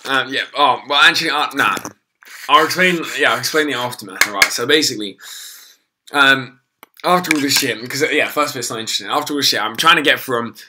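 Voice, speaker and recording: A young man, hoarse from losing his voice, rambling casually through a live stream with lots of ums and swearing. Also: mid-range voice, a little fast, background noise. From England.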